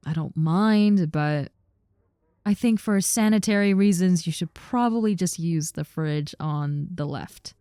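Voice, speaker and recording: A woman as a friendly lamia roommate, mellow and close. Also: fairly low pitch, clear, resonant tone, no echo. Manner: casual, reassuring, teasing. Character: friendly, harmless giant snake-girl lamia roommate, young woman. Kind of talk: roleplay audio with personal attention, conversational acting.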